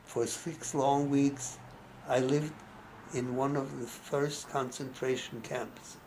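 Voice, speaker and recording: A man's voice: mid-range voice, level tone, background noise. A man, reading his life story aloud and often stopping to restart a word. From Austria. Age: 90s. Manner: halting, restarts words mid-phrase. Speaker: memoir narrator.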